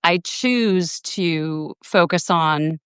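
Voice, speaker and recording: A woman's voice: fairly low pitch, extremely slow, a bit bright, clear, resonant tone, no echo, clean studio sound. A woman in her forties, hosting a casual live video conversation, reflective and thinking aloud with many restarts. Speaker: host. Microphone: video call.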